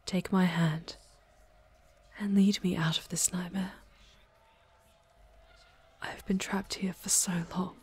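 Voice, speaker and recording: A woman playing a proud, ancient demoness in an ASMR audio roleplay, with a soft breathy voice and formal, theatrical phrasing. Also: on the low side, little pitch movement, slow, pauses often, heavily acted. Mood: soft, pleading. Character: proud ancient demoness, long imprisoned, yearning for freedom.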